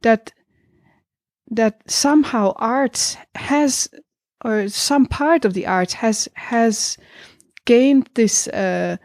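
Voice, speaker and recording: A woman co-hosting a reflective intellectual discussion, speaking deliberately with pauses between her phrases. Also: fairly high pitch, a little mellow, dry recording, studio quality.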